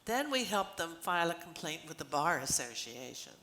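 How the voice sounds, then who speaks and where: A woman's voice: low voice, big pitch swings, normal speed, bright, forceful tone, slight background noise, heavily acted. An older woman reading the part of an earnest, explanatory legal clinic volunteer in an amateur play. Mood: earnest explaining.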